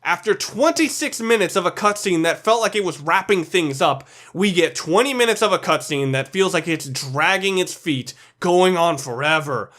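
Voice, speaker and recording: A man's voice: high-pitched, expressive, moderate pace, few pauses, fairly bright tone. A man narrating an opinionated video essay as its host, in an informal way with some swearing.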